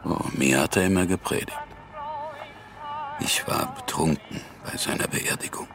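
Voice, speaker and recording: A world-weary American man in a radio play. His voice is extremely low and gravelly. He speaks in a laconic, halting, confiding way, with music clearly behind him. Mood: bitter, confessional. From New York, United States. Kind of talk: intimate radio drama acting.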